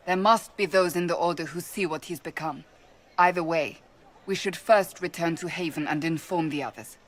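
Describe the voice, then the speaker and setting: An actress playing a firm, direct, dutiful agent in a game cutscene. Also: female voice, lowish voice, normal speed, lightly acted.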